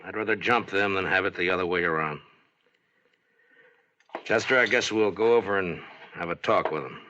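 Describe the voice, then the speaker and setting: An actor playing a firm, unflappable frontier marshal, terse and dry, in an old-time radio western. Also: male voice, deep voice, normal speed, pushed and bright. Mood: dry, steady. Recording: noisy, muffled old-time radio recording.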